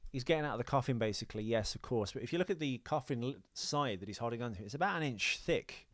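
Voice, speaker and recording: A man's voice: medium pitch, animated, quick pace, a bit bright, dry recording, studio quality. A man with an English accent chatting casually as a podcast co-host, in a rambling, blunt way. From England. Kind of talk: casual film trivia chat.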